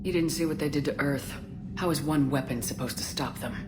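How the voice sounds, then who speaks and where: Woman acting low, terse battle-hardened female commander. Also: brisk, background noise, close to an everyday voice. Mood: grim, skeptical. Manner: terse, direct. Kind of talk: video game cutscene acting.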